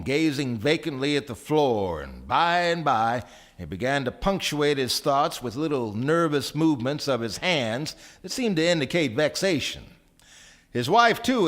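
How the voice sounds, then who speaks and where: An actor as storyteller, narrating a live radio drama with measured, theatrical phrasing. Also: male voice, fairly high pitch, a bit bright. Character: storyteller narrating a wry small-town tale. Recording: live stage recording before audience.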